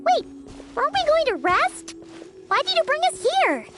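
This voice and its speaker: Brief: an excitable childlike creature sidekick; very high and bouncy, over game music. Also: female voice, moderate pace, exaggerated voice. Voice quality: squeaky, childlike. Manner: excitable, speaks of herself in third person. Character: tiny chirpy female floating companion creature. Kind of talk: video game voice acting. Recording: game audio with music underneath.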